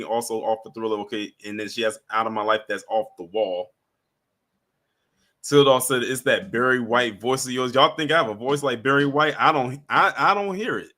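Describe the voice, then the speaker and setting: A man's voice: mid-range voice, sharp tone. A man hosting a casual livestream, reading out viewer comments in a laid-back way with frequent chuckles. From US East Coast. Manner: laid-back, chuckles often, trails off and restarts. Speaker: livestream host.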